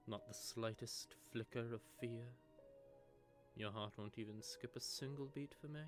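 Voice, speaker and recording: A man's voice: medium pitch, natural voice. A man playing a sardonic, predatory vampire with a sentimental streak, teasing and taunting in an intimate audio roleplay monologue. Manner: teasing, taunting, drawling menace with soft asides. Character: sardonic, predatory male vampire, secretly sentimental toward prey.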